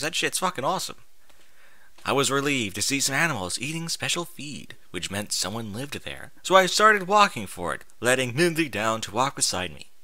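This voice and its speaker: A man reading a first-person story aloud in an expressive, informal way, as if acting out the narrator's thoughts. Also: medium pitch, no room sound. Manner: expressive, informal, sweary interjections. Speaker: fan fiction reader.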